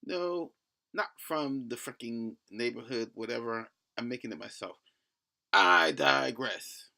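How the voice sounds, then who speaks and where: A man's voice: medium pitch, animated, unhurried, vocal fry, sharp tone. A middle-aged man with a lisp delivers a rambling, blunt opinion rant, cursing and breaking off mid-thought. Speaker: solo video blogger.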